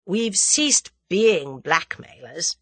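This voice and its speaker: A woman voicing a cheery, matter-of-fact young English man with a jaunty, brisk manner in a storybook. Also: on the low side, big pitch swings, husky, no echo, heavily acted.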